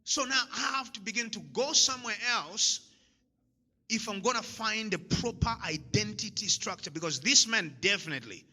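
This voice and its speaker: A man's voice: sits high, expressive, moderate pace, pushed and bright. A man from Zambia giving a motivational talk to an audience, emphatic and repeating his key lines, often laughing at his own jokes. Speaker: motivational speaker. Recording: long hall reverb.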